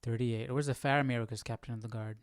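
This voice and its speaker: A man's voice: mid-range voice, steady tone, fast, clean tone. A man hosting a live Bible study stream, chatting casually and jokingly as he thinks aloud. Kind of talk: casual chat, reacting to a reading.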